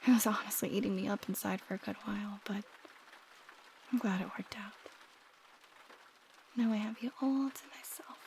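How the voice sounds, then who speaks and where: A woman playing an affectionate, shyly teasing young roommate in an intimate ASMR roleplay, speaking softly and often dropping to a whisper. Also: medium pitch, a little slow, pauses often, slight background noise, close to an everyday voice. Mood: tender, sleepy.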